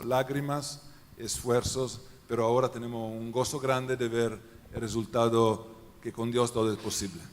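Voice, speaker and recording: Earnest missionary man in an echoing church. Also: medium pitch. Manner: earnest, emphatic lists, quiet falling endings. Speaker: missionary guest. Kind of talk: interview answers in church. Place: large church hall. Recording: long church hall reverb.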